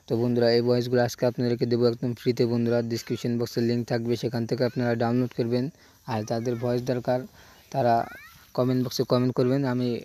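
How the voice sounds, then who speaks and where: A man's voice: medium pitch, warm tone. A man hosting a video channel, speaking casually and repetitively to viewers as friends. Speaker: YouTube channel host.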